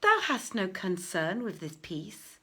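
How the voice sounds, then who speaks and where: A woman's voice: mid-range voice, big pitch swings, slow, pushed and bright, slightly rough, heavily acted. Woman as a plaintive, lovelorn young merchant. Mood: pleading, guarded. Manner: plaintive, declamatory. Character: sorrowful lovelorn young male merchant, humble, secretive. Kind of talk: dramatic storybook reading of archaic verse and dialogue.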